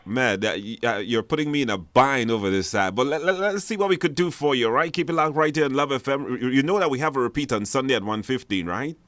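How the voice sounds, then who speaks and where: A man's voice: medium pitch, fast talker, few pauses, a bit bright, no echo. A man casually hosting a live radio call-in show, chatty and encouraging, speaking in a studio.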